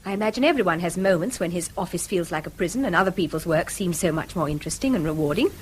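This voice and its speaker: An actress playing an earnest, strained schoolmaster's wife in a British radio drama, with a proper English accent and emphatic delivery. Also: female voice, lowish voice, animated, fast talker, a little mellow, slight background noise, natural voice, low-quality recording. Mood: restrained, insistent. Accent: English, received pronunciation. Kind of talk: mid-century British radio drama acting.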